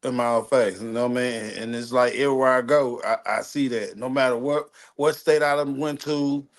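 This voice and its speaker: A man's voice: medium pitch, fairly even intonation, brisk. A man chatting casually and telling stories in profane street slang, repeating himself and often checking that he is understood. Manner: street slang, profane, repetitive, seeks agreement. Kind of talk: casual chat, storytelling.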